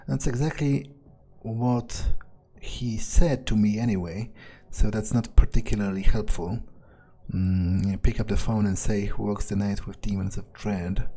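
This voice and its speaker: A man's voice: on the low side, steady tone, a little slow, warm tone. A man role-playing a callous, laid-back vampire vigilante in a video game, muttering his thoughts offhandedly. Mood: musing. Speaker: let's player role-playing in character. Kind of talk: in-character gameplay commentary, thinking aloud. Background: game music.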